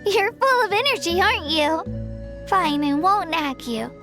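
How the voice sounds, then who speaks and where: An earnest, soft-hearted young child from a fantasy video game speaks in a very high, youthful voice. The villager is caring and sincere, and music plays clearly behind the words. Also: female voice, animated, unhurried, full character voice. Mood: relieved, delighted.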